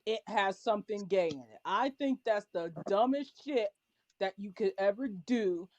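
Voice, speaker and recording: A woman's voice: medium pitch, expressive, moderate pace, a little mellow, no echo. A woman giving blunt opinions in a casual panel discussion. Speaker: panelist on a pop culture discussion show.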